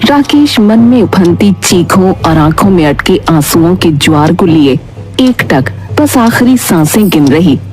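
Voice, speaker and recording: A woman narrates a dramatic cartoon tale from a script. Her delivery is very unhurried, and music plays clearly behind her. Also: fairly low pitch, animated, darkish tone.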